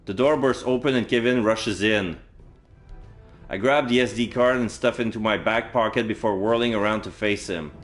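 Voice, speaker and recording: A man's voice: lowish voice, moderate pace. A man livestreaming a game, reading visual novel text aloud and adding casual commentary as he plays. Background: game music.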